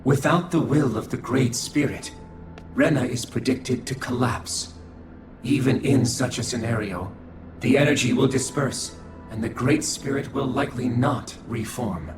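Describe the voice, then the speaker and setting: A man voicing a detached alien observer in a video game, formal, measured and emotionless. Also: mid-range voice, lightly acted. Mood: impassive. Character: cold, detached alien observer of a fallen species. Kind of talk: scripted video game voice acting. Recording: very roomy echo, music behind.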